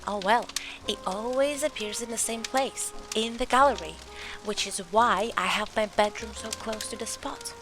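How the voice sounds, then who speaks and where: Woman acting earnest young lady who believes in ghosts, audio drama, dramatic storyteller, music clearly under it. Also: on the high side, normal speed, close to an everyday voice. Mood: eager, eerie.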